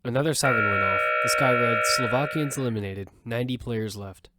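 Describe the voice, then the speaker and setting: A man narrating an audio drama in first person as its lead, telling the story with quick urgency over music. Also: medium pitch, heavy background noise, lightly acted.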